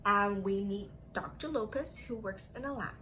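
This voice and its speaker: A woman reading and teaching for young children as a story-time host, in simple, drawn-out phrases addressed to the kids, on a noisy recording. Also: mid-range voice. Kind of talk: read-aloud narration and playful teaching to young children.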